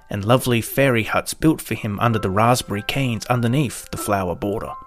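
A man reading a scripted children's story as its narrator. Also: fairly low pitch.

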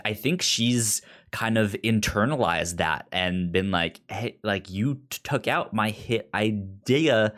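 Podcast co-host, male, very low voice, casual recap talk, frequent stammering. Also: a little slow, few pauses, fairly bright tone. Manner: stammers often, repeating first sounds.

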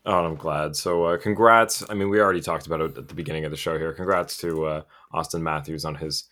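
A man's voice: rumbling low, fairly even intonation, fast, pushed and bright. A man hosting a casual hockey podcast, joking around, swearing casually and hyping up his guests. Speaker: podcast host. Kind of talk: casual sports podcast chat.

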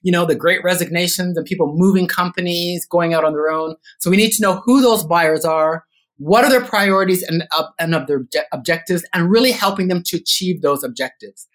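A middle-aged Canadian man being interviewed on a podcast, talking in a measured way with frequent ums and restarts. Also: high-pitched, fairly bright tone. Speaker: podcast guest, business consultant. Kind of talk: casual interview chat.

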